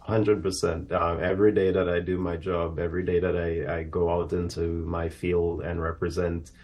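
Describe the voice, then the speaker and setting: A man's voice: sits low, level tone, moderate pace, darkish tone. A man from Trinidad and Tobago, a guest in a live TV interview who answers earnestly and reflectively, with plenty of fillers. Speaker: aerospace professional guest.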